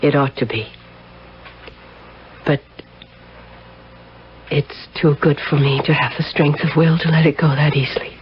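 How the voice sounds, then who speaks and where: A woman's voice: deep voice, steady tone, unhurried, long pauses. Sardonic, seductive married woman, arch and guarded, on noisy, muffled vintage radio. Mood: regretful. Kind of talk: 1970s radio drama acting. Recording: dull, noisy 1970s radio broadcast.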